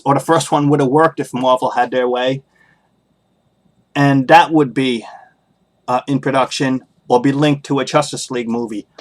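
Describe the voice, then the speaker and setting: A man hosting a solo podcast, giving casual opinion commentary in an emphatic, critical way and repeating his points for emphasis. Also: on the high side. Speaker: podcast host.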